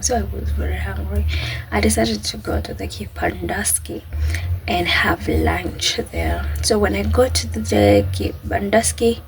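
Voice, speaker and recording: A woman with a Kenyan accent talks casually to her viewers. Her voice has a noticeable creak and sits at an ordinary pitch. She recounts things in a halting, chatty way, over faint background noise. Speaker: vlogger. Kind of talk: casual personal storytelling to camera.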